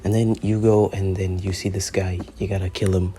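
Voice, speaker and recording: A man's voice: sits low, level tone, moderate pace, dark tone, a little hiss or hum. A teenage boy reviewing a mobile shooter as a YouTuber, rambling and joking, with plenty of profanity. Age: teens. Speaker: gaming YouTuber. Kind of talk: gameplay review commentary.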